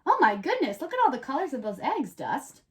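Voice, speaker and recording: A woman's voice: high-pitched, wildly swooping pitch, a little fast. A woman hosting a children's show, reading a picture book aloud expressively and explaining things simply. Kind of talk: expressive read-aloud storytelling with playful chat.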